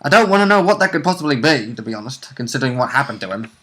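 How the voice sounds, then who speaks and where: A man's voice: mid-range voice, sing-song, racing, bright, forceful tone. A man co-hosting a casual game discussion, joking and commenting in a blunt, sarcastic way. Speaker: co-host.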